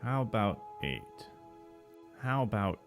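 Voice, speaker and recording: A man voicing a gloomy, money-minded adult male cartoon character in a deadpan, unhurried delivery. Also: lowish voice, faint music behind, full character voice. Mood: downbeat, mildly interested. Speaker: voice actor. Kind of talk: animation voice-over takes, repeated lines.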